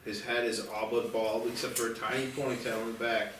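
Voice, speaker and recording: A man's voice: medium pitch, level tone, brisk, fairly bright tone, a little hiss or hum. A man reading scripted stage directions as the narrator at a screenplay table read. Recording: very echoey room, distant mic, music behind.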